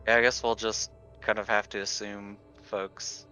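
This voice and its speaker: A man's voice: on the low side, little pitch movement, a little slow, pushed and bright, smooth, faint music behind, low-quality recording. A man playing a tabletop game and chatting casually with the group.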